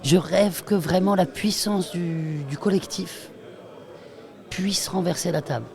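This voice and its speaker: A woman in casual roundtable talk, halting and self-deprecating, often repeating her words. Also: lowish voice, creaky, a little mellow. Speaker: stage actor on a panel. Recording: crowd chatter behind. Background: crowd chatter.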